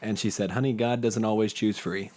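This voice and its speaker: A man's voice: fairly low pitch, fairly even intonation, brisk, a little mellow. A young man giving a casual interview, with an informal, jokey, slangy way of talking. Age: young adult. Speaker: podcast guest, community theatre actor.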